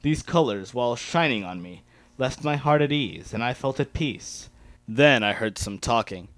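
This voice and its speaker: A man's voice: mid-range voice, expressive, normal speed, fairly bright tone, no room sound. A man reading first-person fan fiction aloud as an amateur dramatic narrator.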